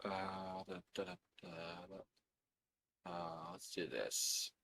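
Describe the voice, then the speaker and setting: A man plays a tabletop card game and talks through each step in a casual way. His voice is on the low side and he takes his time, often muttering or counting aloud as he thinks out his turn. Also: animated, takes it slowly, gravelly, pushed and bright, slight background noise. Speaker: card game player and streamer.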